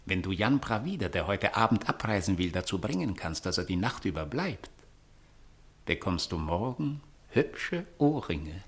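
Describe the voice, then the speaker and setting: An actor playing a sly, patronizing middle-aged father with a calmly murderous streak in a 1960s German radio play. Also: low-pitched, normal speed. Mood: slyly coaxing.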